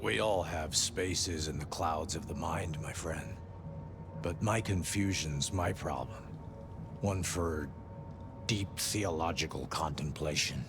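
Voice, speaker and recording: An actor playing a troubled, gentle street mystic in a video game, musing philosophically and often dropping into whispery murmurs. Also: male voice, low voice, takes it slowly, full character voice. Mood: guarded, serene. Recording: clear background noise.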